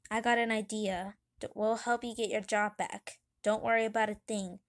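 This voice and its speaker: A woman voicing a kind little child, gentle, eager and reassuring, in a children's comic story. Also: medium pitch, flat delivery, normal speed, slight background noise, close to an everyday voice. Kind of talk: children's comic read aloud.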